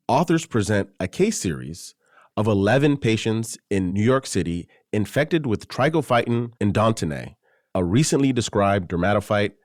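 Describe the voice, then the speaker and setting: A man hosting a journal podcast, reading a composed introduction and then asking questions in a clear, steady way. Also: lowish voice, no room sound, clean studio sound. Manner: clear, composed, reads smoothly. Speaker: podcast host, journal web editor. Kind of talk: scripted introduction and interview questions.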